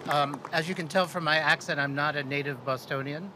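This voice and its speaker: A man's voice: fairly high pitch, a little fast, noisy background. A man from Washington, D.C., a concert operations director, casually introducing speakers at an outdoor press conference. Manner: friendly, casual with fillers. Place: outdoors at a city event.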